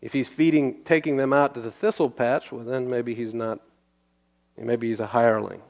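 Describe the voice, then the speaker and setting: A male pastor at a middle pitch reads scripture aloud, then breaks it down in an easy, conversational way. He often poses a question and answers it himself as he teaches verse by verse. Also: steady tone, moderate pace. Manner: asks and answers his own questions.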